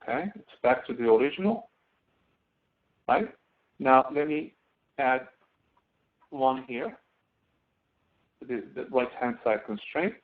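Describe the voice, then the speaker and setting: A man's voice: mid-range voice, animated, slow, long pauses. A man instructing in a screen-recorded tutorial, explaining step by step and thinking aloud as he works. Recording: tinny phone-like narrow-band audio.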